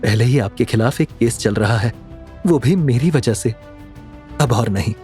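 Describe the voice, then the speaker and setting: A man voicing an anxious, respectful young woman in an audio drama, halting and fearful. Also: mid-range voice, darkish tone, faint music behind, close to an everyday voice. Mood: worried, reluctant. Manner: trembling, halting, choked speech.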